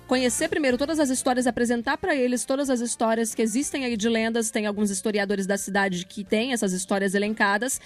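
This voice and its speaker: A woman's voice: fairly high pitch, steady tone, quick pace, hardly any pauses, soft background music. A Brazilian woman giving casual interview answers, explaining things in an informal, slangy way as a project leader and teacher.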